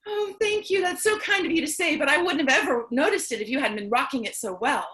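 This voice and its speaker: Woman playing excitable female survivor, high voice, earnest, gushing, theatrical reading. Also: fast talker. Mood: gushing, excited. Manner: gushing, apologetic, expressive. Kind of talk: staged play reading.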